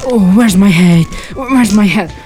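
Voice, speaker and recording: Man acting a slow, fussy, groaning hypochondriac suitor. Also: high voice, a little mellow, faint music behind. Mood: faint, complaining. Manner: faltering, groaning, self-pitying. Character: hypochondriac, fussy male suitor, nervous and argumentative. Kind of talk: theatrical stage farce acting.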